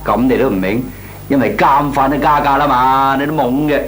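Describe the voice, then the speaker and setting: An actor playing a cocky, slangy young layabout nephew in a Cantonese radio comedy drama, scoffing and flippant, with a Hong Kong Cantonese accent. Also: male voice, medium pitch, a bit bright, natural voice. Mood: cocky, argumentative. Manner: scoffing, flippant, drawn-out theatrical delivery. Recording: noisy old radio recording with hum.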